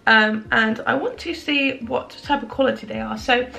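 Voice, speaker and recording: A young woman with a slightly high, gravelly, croaky voice chats away about her makeup at home. She rambles and pokes fun at herself, and her voice carries a clear edge of fry. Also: normal speed, sharp tone, rough recording. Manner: chatty, self-deprecating, rambling. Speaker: beauty vlogger. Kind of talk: casual makeup review chat. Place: indoors at home in the evening.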